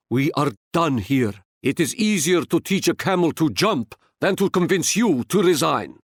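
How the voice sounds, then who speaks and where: A male actor playing a proud, wise Ottoman sultan in a video game, speaking in a stately, formal and measured way. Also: a little high, dry recording, studio quality, lightly acted. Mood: solemn, resolute. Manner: stately, formal, measured, proverb-laden delivery. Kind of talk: scripted video game character lines.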